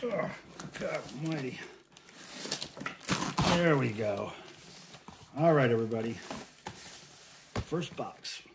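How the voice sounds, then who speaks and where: Middle-aged man, American accent, mid-range pitch, casual vlog chat, folksy and informal, clear background noise. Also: lively intonation, slow pace, pauses often. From United States. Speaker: vlogger, record collector and fan site manager. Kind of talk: casual unboxing vlog talk. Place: small indoor room at home.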